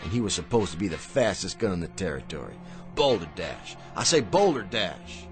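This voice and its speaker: A man's voice: low voice, sing-song, over music. An actor playing a courteous, insinuating miracle worker and con man in a radio drama. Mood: insinuating, mocking. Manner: courteous, insinuating, drawn-out mock politeness. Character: smooth-talking, sly male miracle worker and con man.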